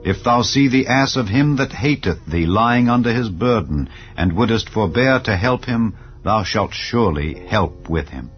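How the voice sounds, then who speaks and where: A man narrating scripture in a solemn, measured, declarative manner, as in a dramatized Bible reading. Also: lowish voice, lively intonation, highs cut off. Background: clear background music.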